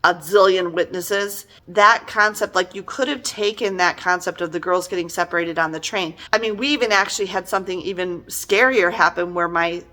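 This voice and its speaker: Bright, forceful woman in casual review mode. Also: lowish voice, normal speed, hardly any pauses. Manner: animated, exasperated, emphatic. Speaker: book reviewer. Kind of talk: casual conversational book review.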